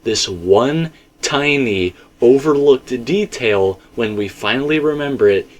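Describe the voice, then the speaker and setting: A man's voice: medium pitch, lively intonation, measured pace. A man hosting a casual, speculative discussion, talking in a rambling, stop-and-start way and often correcting himself. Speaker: host of a game theory discussion.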